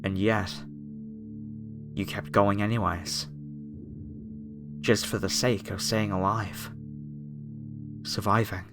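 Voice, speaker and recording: A man's voice: lowish voice, steady tone, takes it slowly, long pauses. A man playing a polite, apologetic masked cultist, a former music director, in a horror ASMR roleplay addressed to the listener. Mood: calm, reverent menace.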